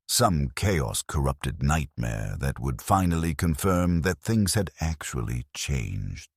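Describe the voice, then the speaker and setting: A man with an extremely low, husky voice narrates dark science fiction in the first person. His delivery is measured and grim, yet the pitch moves in a lively way. The sound is dry and close. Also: dry recording.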